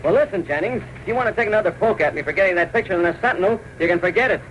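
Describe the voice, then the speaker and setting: A man's voice: high-pitched, rapid-fire, dull audio, a little hiss or hum, lightly acted. An actor playing a brash, eager male newspaper reporter, snappy and wisecracking in old-time radio drama style. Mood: wary, brusque. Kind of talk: 1930s-40s radio drama acting.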